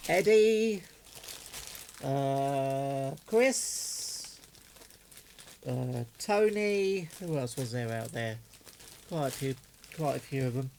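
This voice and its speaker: A man's voice: sits high, darkish tone, slight background noise. An enthusiastic, chatty man, giving casual unboxing commentary as a hobbyist video host.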